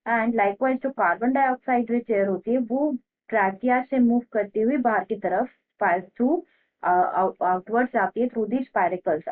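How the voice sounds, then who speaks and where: An Indian woman teacher explaining a lesson, repeating key terms slowly as if dictating. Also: fairly high pitch. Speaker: biology teacher. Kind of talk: explanatory lesson in mixed Hindi and English. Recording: muffled phone-like narrow-band audio.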